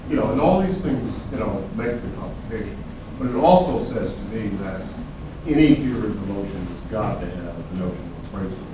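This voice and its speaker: A man's voice: on the low side, animated, fairly quick, noisy background. A man with a big voice speaking at length as a panelist in an academic discussion. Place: conference room. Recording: echoey room from far off.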